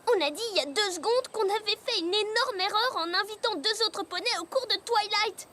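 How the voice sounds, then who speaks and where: A woman's voice: very high-pitched, brisk, pushed and bright. A woman voicing a wary, skeptical schoolgirl pony in a cartoon dub, blunt and grumbling. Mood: sarcastic, worried. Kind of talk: French cartoon dub acting.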